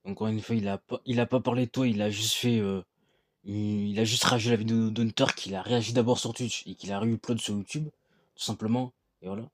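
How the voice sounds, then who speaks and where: Guy w a lowish voice reacting to vids, dry mic, lots of fillers. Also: a little fast, no echo. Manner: relaxed, repeats fillers, lectures viewers. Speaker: reaction video host. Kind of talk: casual reaction commentary.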